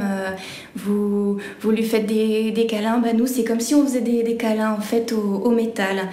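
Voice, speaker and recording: Young woman apprentice, higher voice, halting and tender, echoey room. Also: mellow. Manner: hesitant, searching for words, tender. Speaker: apprentice polisher. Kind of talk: documentary interview.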